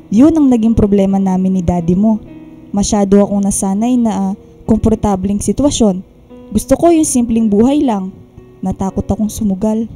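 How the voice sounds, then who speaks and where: A woman's voice: mid-range voice, dark tone, natural voice. A woman playing a caring older Filipino mother in a radio drama, fretting and scolding with motherly emphasis.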